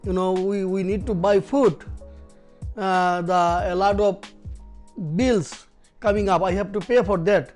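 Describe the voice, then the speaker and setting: A middle-aged man with a Bangladeshi accent, a taxi driver speaking in a documentary interview, halting with many fillers and simple phrasing. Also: sits high, steady tone, a little mellow, faint music behind. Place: apartment living room.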